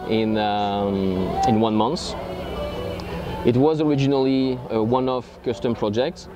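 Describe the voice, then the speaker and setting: A man's voice: mid-range voice, unhurried, music in the background. A man with a French accent, answering interview questions hesitantly with many ums and uhs, outdoors at a marina. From France. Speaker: boat owner.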